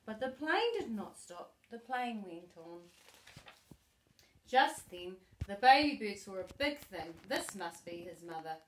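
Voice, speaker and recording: A middle-aged woman reading a children's story aloud expressively, with deliberate emphasis for a young listener. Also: mid-range voice, very animated. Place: living room sofa, indoors. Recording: echoey living room.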